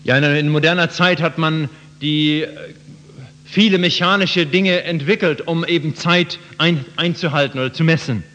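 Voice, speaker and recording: A man's voice: a little high, fairly even intonation, moderate pace, bright, forceful tone. A man interpreting a sermon phrase by phrase for the listeners. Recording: muffled, reverberant hall.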